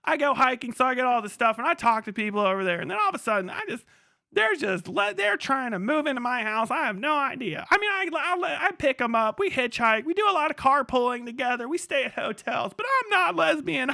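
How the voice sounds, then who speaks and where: A man's voice: extremely high pitch, big pitch swings, fairly quick, gravelly, bright, forceful tone, no room sound, clean studio sound, pitched above the performer's own voice, full character voice. A man voicing a defensive woman who keeps insisting she is straight, in a raised, protesting tone.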